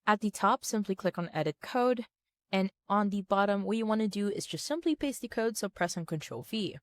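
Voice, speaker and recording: A woman with a medium-pitched voice presents a how-to software guide. She speaks in an orderly, instructional manner, moving plainly from one step to the next. The sound is close and dry, with no room echo. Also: animated, fairly quick. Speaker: tutorial presenter. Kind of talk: scripted step-by-step software tutorial narration.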